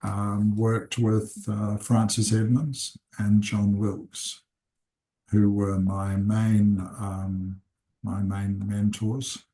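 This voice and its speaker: Slow, low-voiced older man. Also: monotone, dark tone, low-quality recording. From England, raised in New Zealand. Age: 70s. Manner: slow, unhurried, with frequent "uh" pauses. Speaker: seminar teacher and consultant. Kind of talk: unscripted personal introduction.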